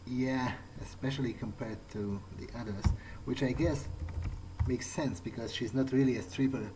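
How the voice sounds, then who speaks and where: A man's voice: mid-range voice, normal speed, background noise. A man improvising in-character commentary as he plays a video game, hesitant and musing. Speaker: gamer playing in character. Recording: voice-over with game music behind. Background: video game music.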